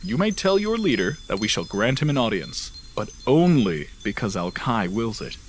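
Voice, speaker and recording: An actor playing a solemn, devout celestial commander in a fantasy audio drama, formal and authoritative. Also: male voice, medium pitch, big pitch swings, normal speed, slight background noise, lightly acted. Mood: commanding. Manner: formal, authoritative, archaic wording.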